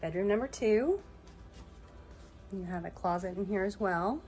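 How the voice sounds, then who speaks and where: A woman's voice: mid-range voice, animated, a little slow, a little mellow, soft background music. A woman working as a real estate agent, narrating an informal walk-through of a property. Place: walking through a house and its yard.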